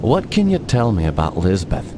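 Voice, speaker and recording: An actor playing a stoic war veteran who investigates the supernatural, with terse, serious questions in a game cutscene. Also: male voice, fairly low pitch, huge pitch swings, moderate pace, background noise. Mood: serious, inquiring. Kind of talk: dramatic game cutscene acting.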